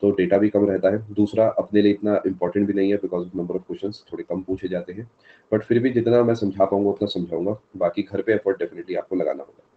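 Male online tutor, very low voice, a bit hoarse from a sore throat, dull streamed sound. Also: steady tone, brisk. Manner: answers live chat by name, mixes English terms. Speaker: teacher. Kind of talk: live online exam-prep lecture.

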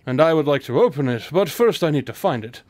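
A man's voice: expressive, quick pace, a little mellow, no echo, lightly acted. A man playing a shrewd, exacting detective with a dry, precise, formal manner in a radio drama. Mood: probing. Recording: clear background noise, low rumble.

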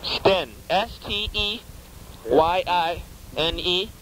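High-voiced rambling prank caller. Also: male voice, a bit bright, rough recording. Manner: rambling, halting, fake naive, absurd. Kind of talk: prank phone call.

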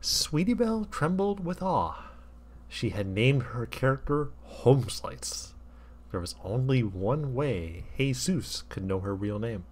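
A man's voice: mid-range voice, big pitch swings, a little slow, darkish tone. A man reading a comic story aloud with wry, mock-earnest delivery. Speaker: fan fiction reader.

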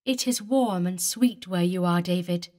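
A gentle young female ghost speaks in a calm, sweet voice with a mournful edge, as a woman reads her. She sounds distant and wistful. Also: fairly low pitch, unhurried, natural voice.

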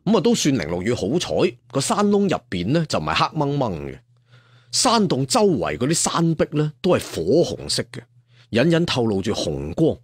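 Male storyteller for serialized fiction: slightly rough voice, animated delivery, colloquial and dramatic. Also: medium pitch, normal speed, no room sound, studio quality. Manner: colloquial, addresses listeners with rhetorical questions. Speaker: audiobook storyteller. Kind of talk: dramatic traditional storytelling narration.

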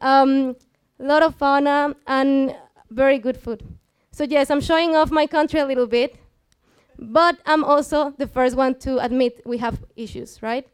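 A woman's voice: high-pitched, steady tone, moderate pace. A woman in her twenties with a Mexican accent gives an explanatory science talk to an audience, informally engaging them with questions. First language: Spanish. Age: 20s. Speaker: student speaker giving a public science talk. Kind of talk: live presentation to an audience, explanatory. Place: small lecture room with seated audience. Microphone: headset.

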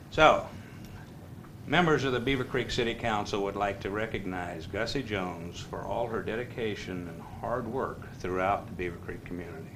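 Low-voiced male mayor chairing a council meeting, measured delivery, steady background hum on the mic. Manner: measured, reads prepared text then chats loosely. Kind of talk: formal meeting chairing and reading a proclamation. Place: council chamber.

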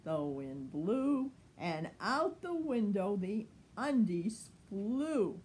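A woman's voice: medium pitch, very animated, very slow, a little mellow. A woman in her sixties reading a children's picture book expressively, lingering dramatically on words. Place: sunny room at home by large window.

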